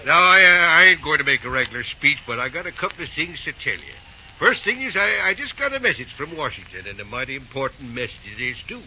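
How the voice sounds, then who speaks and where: An actor playing a kindly, proud old railroad boss with a halting rustic drawl in an old-time radio drama. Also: male voice, a little high, sing-song, pushed and bright, exaggerated voice. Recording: dull, hissy old radio recording.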